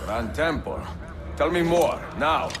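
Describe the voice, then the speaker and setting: An actor playing a grave, middle-aged master assassin in a video game, solemn and measured with a deep gravelly voice. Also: male voice, background noise. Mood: stern, demanding. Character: grave, weathered male master assassin, stern and determined. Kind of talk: video game dramatic acting.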